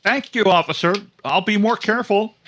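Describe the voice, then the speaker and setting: A man plays the helpful announcer pal in an old-time radio comedy. He has a slightly high voice and a polite straight-man delivery. The recording carries faint noise. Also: moderate pace, close to an everyday voice. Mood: obliging. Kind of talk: 1940s-style radio comedy acting.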